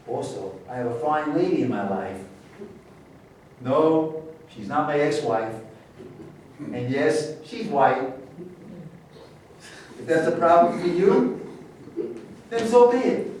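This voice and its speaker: A man acting a self-assured comeback golfer, speaking deliberately in a staged-reading monologue. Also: medium pitch, a little mellow, lightly acted. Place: live staged reading in a roomy space. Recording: very echoey room, distant mic, faint noise.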